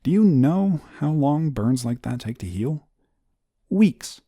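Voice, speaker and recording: A man's voice: fairly high pitch, animated, slow pace, warm tone, no room sound, studio quality, exaggerated voice. A man playing an exasperated but protective guardian angel in an audio roleplay, scolding the listener emphatically. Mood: earnest, exasperated.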